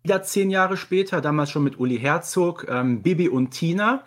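Male podcast guest, slightly high voice, detailed explanatory delivery in casual discussion. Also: expressive, moderate pace, a bit bright.

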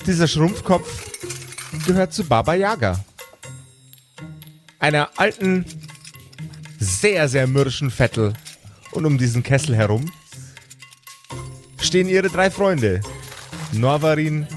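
A man narrating a fantasy story as game master, with deliberate, dramatic phrasing and a suspenseful style. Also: fairly high pitch, animated, soft background music.

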